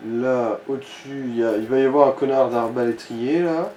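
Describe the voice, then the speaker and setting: A man with a mellow, relaxed voice of average pitch talks through a game as a co-commentator. His speech is casual and salted with swearing. The room echoes around him and there is some background noise. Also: normal speed, muffled recording. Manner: casual, crude slang and swearing. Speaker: let's play co-commentator guiding the player. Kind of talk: casual gaming chat. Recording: roomy echo with clear background noise.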